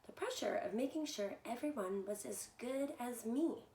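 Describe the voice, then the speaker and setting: High-voiced woman reading to children, echoey room. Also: normal speed. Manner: animated, addresses listeners with simple questions. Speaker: children's story reader and soccer coach. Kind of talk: expressive read-aloud of a picture book with asides to young children. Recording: echoey room, faint background noise.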